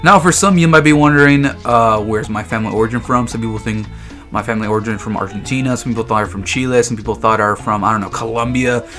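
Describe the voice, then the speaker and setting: A man's voice: mid-range voice, fast, a bit bright, soft background music. A young man from Texas chatting casually and jokingly to his viewers, in loose, slangy storytelling. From Texas, United States. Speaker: vlogger. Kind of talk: casual comedic chat.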